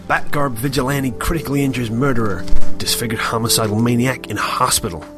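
An actor playing a devoted older father in an audio drama, gruff but gentle. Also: medium pitch, fairly quick, over music, lightly acted. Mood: musing.

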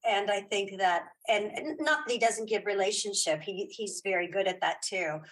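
A woman is a guest in a casual interview. Her voice sits at a middle pitch. She speaks off the cuff, doubling back on words and restarting sentences as she thinks. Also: a bit bright, slightly rough. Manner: halting, repeats words and restarts often. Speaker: guest, filmmaker and writer.

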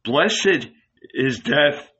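A man voicing a calm, sorrowful, dignified holy prisoner in an audiobook, speaking solemnly. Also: medium pitch, extremely slow, breathy edge, dull audio, heavily acted. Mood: weary, solemn.

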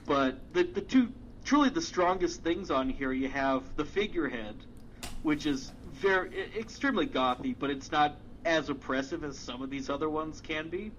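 Rambling man, slightly high, dull recording. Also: lively intonation, moderate pace, a bit bright, a little hiss or hum. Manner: rambling, many fillers and restarts, swears casually. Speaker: music podcast co-host. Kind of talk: casual chat.

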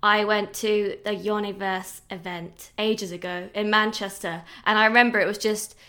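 A woman's voice: mid-range voice, steady tone, moderate pace, pushed and bright. Woman interviewer asking friendly questions. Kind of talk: casual conversational interview.

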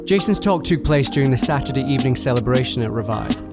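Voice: medium pitch, expressive, quick pace. A podcast presenter reading a scripted introduction. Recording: loud music bed under voice. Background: loud music.

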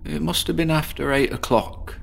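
A man's voice: a little high, moderate pace, gravelly. An actor playing a troubled, sleepless British man who tells his morbid story as a weary, hesitant monologue in a horror audio drama. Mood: dejected. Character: troubled, insomniac bedsit loner, morbid and self-deprecating patient.

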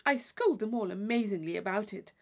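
A woman's voice: medium pitch, expressive, brisk, a little mellow, close to an everyday voice. A woman voicing a gushing, theatrical young society lady in a classic novel reading, effusive, affected and intense. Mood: emphatic, self-important.

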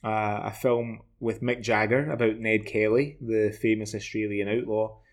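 A man in his forties with a Glaswegian Scottish accent, chatting casually as a podcast co-host in a rambling, halting way. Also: on the low side, level tone. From Glasgow, Scotland. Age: 40s. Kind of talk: casual discussion of television.

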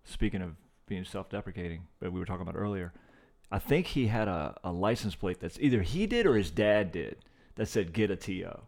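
A man's voice: deep voice, animated, brisk, creaky, a little mellow. A man co-hosting a podcast, casual and easygoing, asking questions and sharing jokes. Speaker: podcast co-host.

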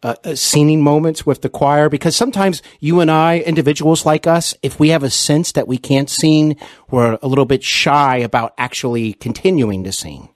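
A man's voice: fairly high pitch, normal speed, dry recording, studio quality. A man hosting a casual interview, speaking in a hesitant, rambling and encouraging way. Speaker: podcast host, interviewer.

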